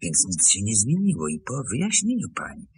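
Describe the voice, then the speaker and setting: Radio drama: bitter wronged man, gravelly, animated intonation, agitated and determined. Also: medium pitch, heavily acted. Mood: restrained, resentful. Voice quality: husky, gravelly. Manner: brooding, emphatic, halting outbursts. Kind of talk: Polish radio drama acting.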